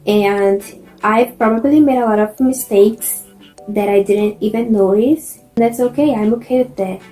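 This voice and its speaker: A young woman from Brazil with a Brazilian Portuguese accent, a native Portuguese speaker, giving language-learning tips in English in a relaxed, chatty, encouraging way with many fillers. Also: medium pitch, measured pace, low-quality recording. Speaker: vlogger. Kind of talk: casual chat. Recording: loud music bed under the voice.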